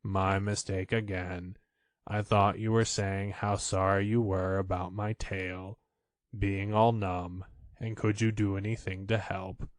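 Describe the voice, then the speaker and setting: A man acts out a gloomy old donkey from a children's storybook. The voice is deep and very slow, close and dry. He grumbles with long-suffering, deadpan resignation. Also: no room sound. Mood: mournful, deadpan.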